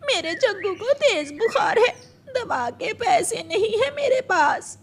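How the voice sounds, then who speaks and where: A woman's voice: very high-pitched, sing-song, slow, heavily acted. A woman voicing a distressed, poor mother crow in a cartoon story, pleading and tearful for her child. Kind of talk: Hindi cartoon story voice acting.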